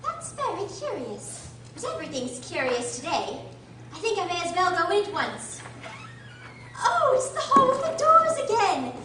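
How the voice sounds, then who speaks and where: A woman's voice: very high voice, wildly swooping pitch, normal speed, heavily acted. A young woman playing a curious, outspoken young girl in a radio drama. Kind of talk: stage radio drama acting. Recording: echoey hall, distant mic, loud noise.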